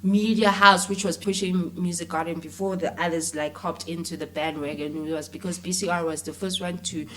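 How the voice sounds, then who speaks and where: A woman's voice: on the low side, fairly even intonation, brisk, hardly any pauses, poor audio. A South African woman talking casually and animatedly as an interview guest, often dropping in "like" and "you know". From Mpumalanga, South Africa. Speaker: interview guest, actress, musician and filmmaker.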